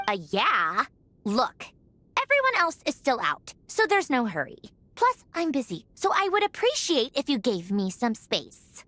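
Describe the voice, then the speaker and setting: A woman's voice: high voice, wildly swooping pitch, a little slow, sharp tone, dry recording, heavily acted. A woman voicing a proud, impatient young woman researcher in a game, snippy and dismissive. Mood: curt. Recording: music behind the voice.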